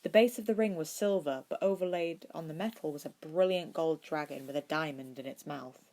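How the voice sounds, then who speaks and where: Woman reading fanfic aloud, pretty low voice. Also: fairly quick, darkish tone. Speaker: podfic narrator. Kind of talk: narration of written fan fiction.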